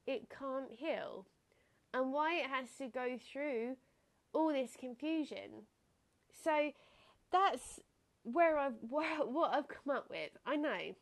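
A woman's voice: high-pitched, vocal fry. A young woman hosting her own podcast, talking in a casual, reflective way with a slightly nasal, stuffed-up voice and frequent laughs. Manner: chatty, reflective, laughs often. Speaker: podcast host. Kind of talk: casual solo podcast talk.

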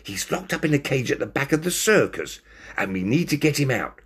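A man voicing a bold, playful female cat in a children's story, with a brisk, bossy manner. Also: medium pitch, very animated, slightly rough, pitched above the performer's own voice. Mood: urgent.

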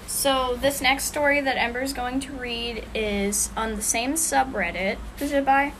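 A woman with a somewhat high voice chats in a relaxed way, laughing easily and answering briefly. Her voice sits over a noisy background. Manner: relaxed, quick to laugh, low-key replies. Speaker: podcast co-host. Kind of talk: casual chat.

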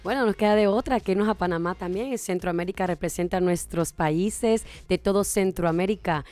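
A woman from Honduras, co-hosting a casual radio podcast in a relaxed, flirty manner. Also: on the low side, fairly quick, faint music behind. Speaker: podcast co-host. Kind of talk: casual chat.

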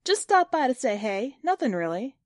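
A woman's voice: high voice, very animated, moderate pace, no echo, clean studio sound, pitched above the performer's own voice. Middle-aged mother for a short story reading, done by a woman: evasive, flustered, dismissive, slightly raised voice. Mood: deflecting.

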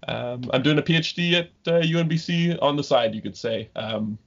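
Gravelly, husky man in his thirties. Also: a little high. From Ontario, Canada. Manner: halting, many ums and restarts. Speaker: guest scientist. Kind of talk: casual interview talk. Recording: dull lo-fi remote call audio.

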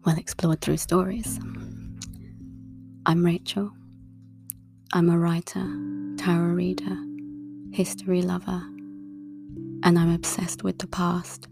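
A woman and tarot reader speaking a calm, soft-spoken monologue in a relaxing, breathy half-whisper. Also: on the low side, takes it slowly, pauses often. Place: outdoors in a wooded area. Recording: clear guitar music underneath. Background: guitar music.

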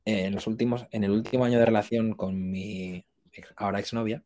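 Hombre joven de voz algo grave, coloquial. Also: male voice, on the low side, flat delivery, mellow, no echo. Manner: halting, thinking aloud, colloquial. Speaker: interview guest, musician. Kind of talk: casual chat.

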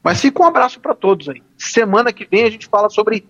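A man's voice: high-pitched, expressive, a little fast, fairly bright tone, muffled recording. A man, a law professor and radio guest, talking informally with hesitant restarts. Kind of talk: casual radio chat.

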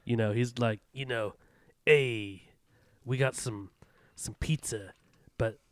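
A man getting over a cold, his voice a bit raspy, rambling through a casual movie chat. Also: mid-range voice, slow, dry recording, clean studio sound. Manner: rambling, self-interrupting, jokey. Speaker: podcast co-host.

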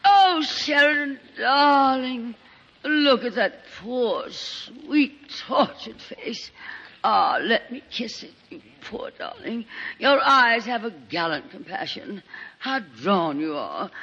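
Vintage radio sketch in a hall: woman as theatrical society diva, gushing, bright-voiced, very animated. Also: on the high side, takes it slowly, voice pushed up, exaggerated voice. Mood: melodramatic pity. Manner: breathless gushing endearments, dramatic. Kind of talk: old-time radio comedy sketch acting. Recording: muffled old radio broadcast, long hall reverb.

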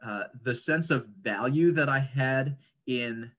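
A man, talking reflectively as an interview guest, articulate and often pausing mid-sentence to pick his words. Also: medium pitch, fairly bright tone. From Indonesia, grew up there as an American third culture kid. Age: 30s. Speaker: interview guest, writer and speaker.

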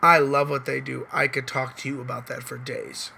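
Hoarse man rambling on a podcast. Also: mid-range voice, level tone, normal speed, pushed and bright, slight background noise. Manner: loose, rambling, swears casually. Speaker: podcast host. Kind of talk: casual chat.

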